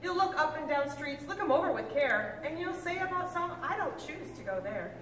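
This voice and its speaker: A woman reading a picture book aloud with lively, expressive delivery, now and then stumbling and correcting herself. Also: moderate pace, a little hiss or hum. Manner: expressive storybook reading with big pitch swings. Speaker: reader. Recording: echoey room, mic at a distance.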